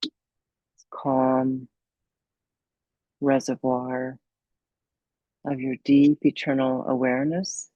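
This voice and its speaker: A woman meditation coach leading a guided meditation in a soothing, unhurried, gently instructive manner with long pauses. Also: rumbling low, dry recording, muffled recording.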